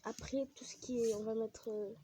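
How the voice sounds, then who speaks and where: A woman's voice: a little high, steady tone, moderate pace, warm tone, slight background noise. A young woman with a cold chats casually and rambles with many fillers while she plays a game. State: has a cold, coughing. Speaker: gaming vlogger.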